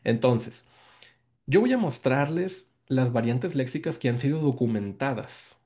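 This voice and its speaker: Mexican man, guest lecturer, dark mellow tone, patient and repetitive, heard through a call mic. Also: medium pitch, expressive, measured pace. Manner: explanatory, repeats key words and checks in with "¿no?". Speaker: guest lecturer presenting research. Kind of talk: academic presentation on a video call. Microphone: video call microphone.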